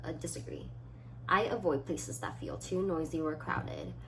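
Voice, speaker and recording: A woman of about twenty chatting casually as a solo vlogger, reading items aloud and thinking through short, halting answers. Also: sits low, poor audio. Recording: clearly audible background noise.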